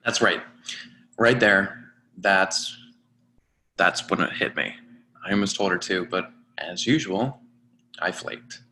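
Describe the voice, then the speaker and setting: A man's voice: sits low, sharp tone, a little hiss or hum, full character voice. An actor playing an awkward, lovesick man in his twenties in a play reading, speaking hesitantly and running himself down. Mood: sheepish. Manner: hesitant, halting. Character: lovesick awkward 24-year-old man, self-deprecating. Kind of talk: play reading, confessional monologue.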